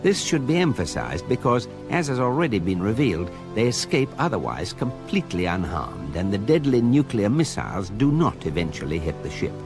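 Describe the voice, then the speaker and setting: An actor playing a wry, unflappable guidebook narrator, measured and deadpan, in a radio comedy. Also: male voice, fairly low pitch. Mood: dry reassurance. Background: music.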